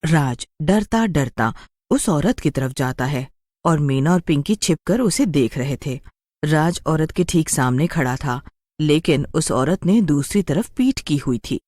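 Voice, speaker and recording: A woman's voice: low-pitched, lively intonation, a little slow, a little mellow, no echo, studio quality. A woman narrating a scripted story in a dramatic storytelling style.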